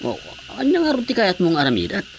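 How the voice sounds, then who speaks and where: An actor playing a village officer, impatient but willing to help, coaxing in a radio drama comedy with a Filipino accent. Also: male voice, high voice, sing-song, fast talker, vocal fry, exaggerated voice. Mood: eager to help. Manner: coaxing, questioning. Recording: faint background noise.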